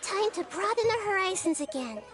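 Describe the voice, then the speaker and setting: A performer voicing a tiny, impatient, money-minded character in a video game, bossy and hurried. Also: extremely high pitch, very animated, music in the background. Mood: impatient, excited.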